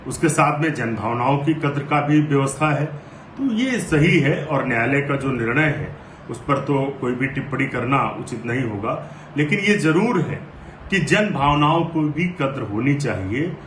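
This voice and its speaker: A man's voice: mid-range voice, animated, normal speed, fairly bright tone. A man giving a formal, conciliatory statement to the press. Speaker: interviewee giving reaction. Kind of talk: measured formal press reaction. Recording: roomy echo, faint ambient noise.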